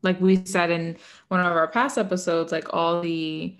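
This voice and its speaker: A woman's voice: fairly low pitch, flat delivery, moderate pace. A woman from Puerto Rico co-hosting a podcast in casual chat, chatty and laughing often, with lots of "like.". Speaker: podcast co-host.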